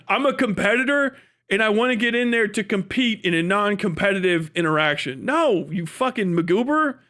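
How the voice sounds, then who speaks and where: A man's voice: high-pitched, expressive, moderate pace, sharp tone. An American man livestreaming an unscripted rant as a commentator, blunt, sarcastic and profane, emphatic and given to acting out mock dialogue. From United States.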